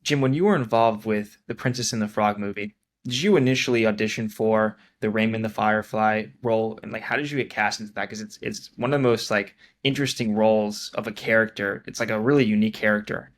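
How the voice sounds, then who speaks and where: A man's voice: on the low side, fairly even intonation, fast talker. A man hosting a casual interview over a video call, asking questions in an informal, earnest way. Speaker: interviewer, host.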